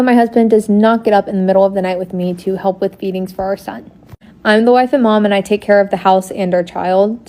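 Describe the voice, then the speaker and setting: A woman's voice: medium pitch, darkish tone. A woman in her early twenties, a stay-at-home mom, stating her views plainly in a social media video. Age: early 20s.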